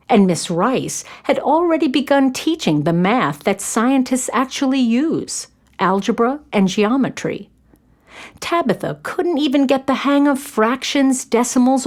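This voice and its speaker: A woman's voice: a little high, darkish tone. A woman narrating a scripted story for children.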